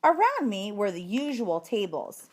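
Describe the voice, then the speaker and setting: A woman reading a children's story aloud in an expressive, emphatic storytelling manner. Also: medium pitch, unhurried. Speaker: storybook reader, teacher.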